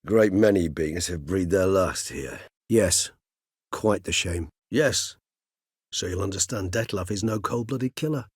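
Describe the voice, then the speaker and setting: Low-voiced, erudite vampire gentleman. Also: breathy edge, dry recording, studio quality. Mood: reflective, regretful. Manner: eloquent, formal, measured, archaic wording. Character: erudite, courteous, centuries-old male vampire gentleman scholar. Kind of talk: fantasy video game character dialogue.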